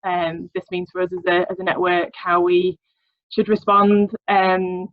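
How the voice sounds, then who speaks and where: A woman from a steering group announcing an upcoming event over a video call, speaking in a hesitant, measured way. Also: on the low side, completely flat delivery, dry recording, narrow, tinny audio.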